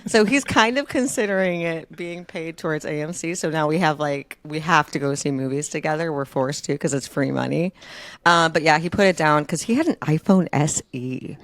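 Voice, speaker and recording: A woman's voice: deep voice, moderate pace, no room sound. A woman in her early thirties co-hosting a podcast, telling personal stories in a casual, self-deprecating way. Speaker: podcast co-host. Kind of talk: casual chat, personal storytelling.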